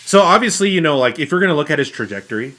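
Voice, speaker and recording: A man co-hosting a podcast, explaining casually in a halting way, often rephrasing himself. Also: a little high, animated, sharp tone. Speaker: podcast co-host reviewing films. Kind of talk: casual chat.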